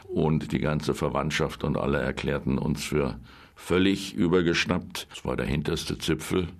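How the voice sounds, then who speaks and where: A man with a very deep, gravelly voice recalls the past in an interview. He talks hesitantly and fills his pauses with ums. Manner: hesitant, with many fillers. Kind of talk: reminiscing interview.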